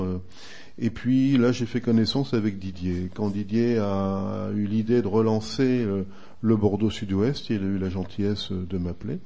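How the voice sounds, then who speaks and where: A man in his sixties from northern France, a retired racer turned motoring writer, answering interview questions in an unhurried, reminiscing way with frequent hesitations. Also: lowish voice, warm tone. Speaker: guest, retired racing driver and motoring writer. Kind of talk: relaxed radio interview answers.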